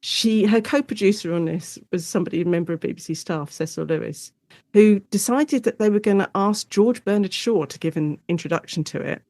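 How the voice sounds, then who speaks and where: A woman speaking as an academic guest in an informal interview, explaining things in an animated way and acting out short quotes. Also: medium pitch, fairly quick, no room sound. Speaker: guest academic, Shakespeare and radio drama specialist.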